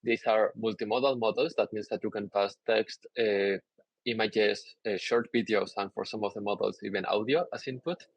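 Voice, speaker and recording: A young man from Peru giving a conference talk over slides on a video call, relaxed and explanatory with many "uh" fillers. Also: fairly low pitch, normal speed, breathy edge, no echo, rough recording. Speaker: conference speaker. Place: indoor room, on a video call.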